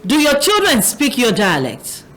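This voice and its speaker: A Nigerian woman hosting a phone-in, briskly repeating her prompts and switching between Yoruba and English. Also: a little high, huge pitch swings, a bit bright, a little hiss or hum. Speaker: radio-TV phone-in host. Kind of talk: phone-in chat.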